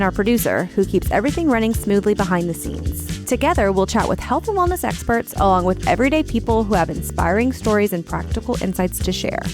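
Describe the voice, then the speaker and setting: A woman reading a scripted podcast intro in an upbeat promotional tone. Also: medium pitch. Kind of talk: scripted narration. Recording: intro read over clear music.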